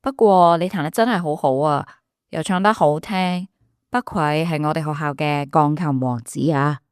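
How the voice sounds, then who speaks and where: An actress with a Hong Kong accent playing a friendly, polite young woman in calm, casual radio drama dialogue sprinkled with English words. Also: deep voice, moderate pace, smooth, dry recording, clean studio sound, natural voice. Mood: tired, polite.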